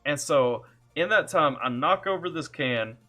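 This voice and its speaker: Bright-voiced Southern man streaming live. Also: a little high, lively intonation, measured pace, faint music behind. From Kentucky. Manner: laid-back, chatty, jokey, greets viewers by name. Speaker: music reaction streamer. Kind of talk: casual live-stream chat, reading chat aloud. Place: home computer setup.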